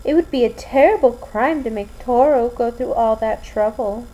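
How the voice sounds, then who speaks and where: Lady doing a solemn anime schoolgirl, high soft mellow voice, really unhurried, kinda gloomy. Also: natural voice, poor audio. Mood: quietly threatening. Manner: slow, deliberate, solemn delivery. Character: gloomy, soft-spoken teenage anime schoolgirl with eerie powers. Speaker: amateur voice actor auditioning. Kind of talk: anime fan dub audition, acted lines.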